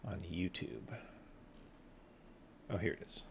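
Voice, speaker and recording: A man's voice: deep voice, sing-song, slow pace, vocal fry, noisy background. A man streaming a game, giving casual, offhand commentary and muttering to himself as he goes. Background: music.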